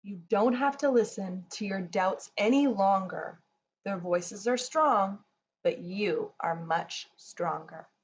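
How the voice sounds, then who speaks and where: A woman speaking to children in a gentle, measured, soothing way, as in a read-aloud or guided relaxation. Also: mid-range voice. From Canada. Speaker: children's yoga teacher reading and guiding relaxation. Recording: slightly dull sound, faint noise.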